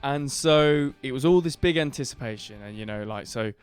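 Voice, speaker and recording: A man with a booming, smooth and resonant voice chats casually on a band podcast. He rambles through his story with lots of fillers and restarts. Faint music sits behind him. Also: normal speed. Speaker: band member co-hosting a podcast. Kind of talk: casual chat.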